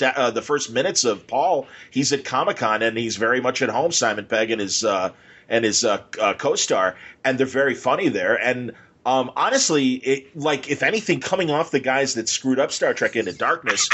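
Male host, mid-pitched and animated, casual rambling fan talk, raspy edge. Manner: rambling, enthusiastic, acts out imagined quotes. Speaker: podcast host. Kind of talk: casual fan conversation about films.

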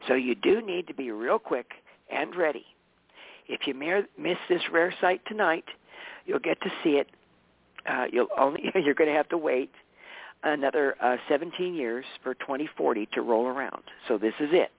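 A man with a tired, hoarse voice, a regular guest on a casual talk show, chatting with warmth, laughing often and correcting himself as he goes. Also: on the high side, moderate pace, dry recording. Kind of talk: casual radio show chat. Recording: dry, phone-line sound.

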